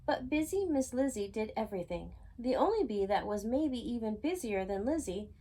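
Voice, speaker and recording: A woman's voice: on the high side, very animated, moderate pace, mellow. A woman reading a children's storybook aloud expressively, with chatty asides to the listener. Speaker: storytime reader.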